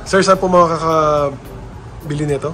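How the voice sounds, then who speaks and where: A man's voice: fairly high pitch, fairly even intonation, a little slow, a bit bright. A Filipino man acting as interviewer, politely asking informal questions about a product. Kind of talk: informal customer-style questioning. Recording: music playing behind the voice. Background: music.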